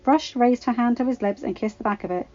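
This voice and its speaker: A woman's voice: on the high side, quick pace, mellow, muffled recording. A woman reading fan fiction aloud as a scripted narration, now and then stumbling over a word. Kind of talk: scripted story narration with character voices.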